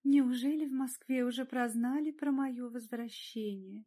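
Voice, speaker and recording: A female narrator puts on a vain opera diva for an audiobook. She is coquettish and theatrical, with a high voice. Her delivery is affected and chirping, as if always before her admirers. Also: a little slow, warm tone. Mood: gushing, coquettish. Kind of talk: audiobook character voice.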